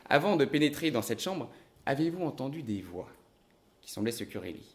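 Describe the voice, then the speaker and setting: A man as a calm, methodical detective. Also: medium pitch, natural voice. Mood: reasoning. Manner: measured, deliberate. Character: calm, reasoning male detective. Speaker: investigator.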